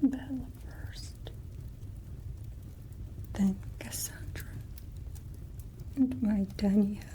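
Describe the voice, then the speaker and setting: Woman acting out a vengeful vampire noblewoman, breathy whisper, very slow deliberate delivery, cold, imperious, ASMR roleplay. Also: mid-range voice, poor audio. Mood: bitter grief. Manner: slow, deliberate, haughty, softly threatening.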